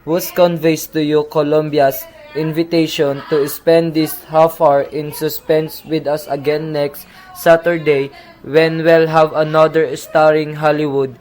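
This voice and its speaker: A man playing formal official and narrator parts in an amateur old-time radio play, with measured delivery. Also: a little high, darkish tone, low-quality recording. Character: formal male radio narrator and police sergeant.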